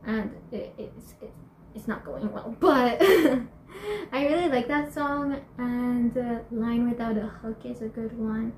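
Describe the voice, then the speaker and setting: A teenage girl chatting in a bubbly way in a casual home vlog, laughing often and filling pauses with fillers. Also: fairly high pitch, darkish tone, a little hiss or hum. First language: Spanish and English. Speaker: YouTuber. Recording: echoey room, faint ambience.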